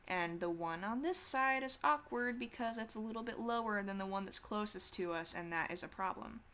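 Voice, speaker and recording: A teenage girl rambles through a drawing session at her desk, swearing casually and poking fun at herself as she goes. Her voice is bright and clean, with a crisp, smooth tone through a desktop mic. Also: medium pitch, normal speed. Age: teens. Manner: chatty, swears casually, self-deprecating asides. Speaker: artist streaming a drawing session.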